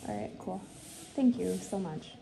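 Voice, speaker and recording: A chatty woman with a gravelly edge to her voice talks about her horse in a friendly way. The room is echoey, and noise sits under her words. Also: mid-range voice, normal speed. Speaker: horse owner. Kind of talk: casual conversation.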